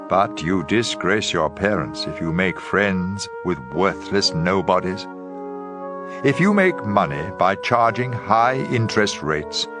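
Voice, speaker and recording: A man with a very low voice reads scripture in a measured, emphatic way. His pitch moves expressively from phrase to phrase. Plucked guitar music plays clearly behind him. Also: fairly bright tone. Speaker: audio Bible reader. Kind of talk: dramatized scripture reading.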